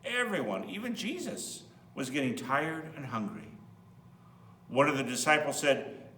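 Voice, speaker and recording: Male priest for children's story reading; expressive, bright delivery, echoey room, mic set back with faint hum. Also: medium pitch, a little slow, distant mic. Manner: expressive, explains in simple words as to children. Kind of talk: storybook read-aloud with asides to children.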